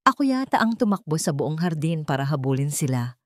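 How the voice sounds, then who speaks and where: A woman with a Filipino accent voicing a gentle, teasing, devoted father in a story. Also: low voice, sing-song, a little fast, no echo. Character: gentle, playful, devoted young father of five.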